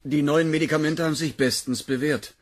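A man playing a self-assured research official in a sci-fi audio drama, with a brisk official manner. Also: on the high side, steady tone, close to an everyday voice. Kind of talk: science-fiction audio drama.

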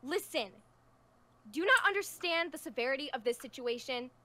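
A woman's voice: high-pitched, lively intonation, fairly quick, sharp tone. A woman playing an anxious, protective leader of zombie survivors in improvised roleplay, exasperated and hesitant but firm. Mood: stern.

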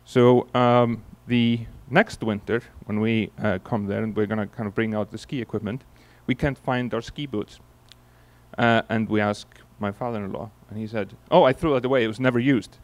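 A man's voice: fairly low pitch, moderate pace. Icelandic man giving a keynote on stage. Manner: relaxed storytelling with frequent fillers and restarts. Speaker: keynote speaker. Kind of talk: conference keynote talk. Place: large conference hall on stage. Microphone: handheld microphone.

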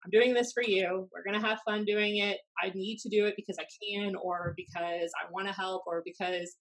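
An American woman in her thirties telling anecdotes casually, in a blunt, animated, sweary way, as a podcast host. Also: medium pitch, normal speed, low-quality recording. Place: small room at home, indoors. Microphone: desk podcast microphone on video call.

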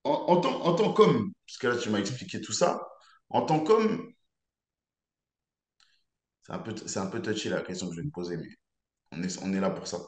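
A man's voice: fairly low pitch, expressive, normal speed, long pauses. A man in his thirties hosting an interview over a video call, asking probing, conversational questions. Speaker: interview host. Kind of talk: casual interview. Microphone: video call webcam. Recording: roomy webcam audio.

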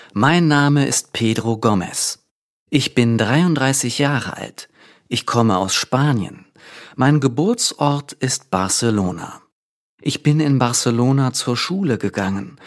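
Man, slow pace, deliberate scripted reading, clear sentences. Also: medium pitch. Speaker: reader of a language-learning text.

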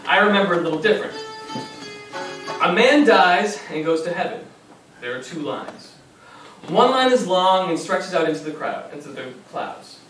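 A fond young grandson played by a man remembers his grandfather on stage, patient and wry. His voice is high for a man. It comes from far off in a very reverberant small theater with faint noise. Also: fairly bright tone. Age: young adult. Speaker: stage actor and narrator. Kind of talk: memory play monologue and dialogue. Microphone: far audience camera. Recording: very echoey theater, distant camera, faint noise.

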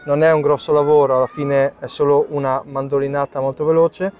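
A man in his thirties, a chef giving a live cooking demonstration under a tent in a park, explaining each step as he works, with fillers and pauses, recorded by a camera at a distance. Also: a little high, level tone, a little mellow. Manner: explanatory, thinking aloud with fillers while working. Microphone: far camera.

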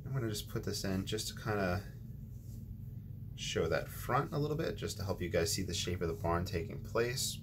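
A man's voice: low voice, moderate pace, background noise. A man hosting a watercolor painting tutorial, talking casually as he paints with frequent ums and restarts. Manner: relaxed, thinking aloud with ums and restarts.

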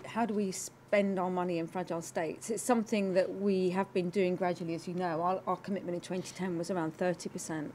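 A woman's voice: lowish voice, a little mellow, a little hiss or hum. A middle-aged British woman giving formal panel remarks as a government development official. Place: conference room, indoors.

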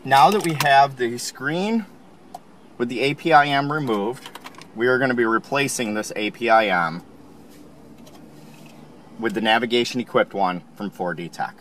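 A man calmly explaining, step by step, how to install a car part, recorded inside a car. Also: mid-range voice, moderate pace, long pauses, slight background noise. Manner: calm, plain instructional. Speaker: installation instructor.

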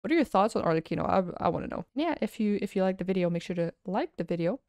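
A woman streamer giving casual, excited reaction commentary, gushing and swearing freely. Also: medium pitch, fast talker, creaky, no room sound, studio quality. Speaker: vtuber streamer. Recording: music behind the voice. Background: game trailer music.

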